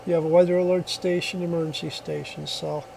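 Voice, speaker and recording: Mellow, higher-voiced man demonstrating gear. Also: flat delivery, a little fast, creaky, a little hiss or hum, rough recording. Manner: plainspoken, step-by-step explaining. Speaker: product reviewer. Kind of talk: casual hands-on product demonstration.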